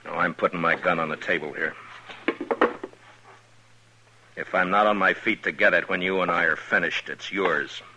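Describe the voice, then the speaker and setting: An actor playing a stern, hard frontier marshal in a 1950s radio drama, with a deep, commanding voice. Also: male voice, fairly even intonation, fairly quick, noisy background. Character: stern frontier marshal, middle-aged man, steady and hard. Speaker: lead actor and narrator. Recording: dull old radio recording with music.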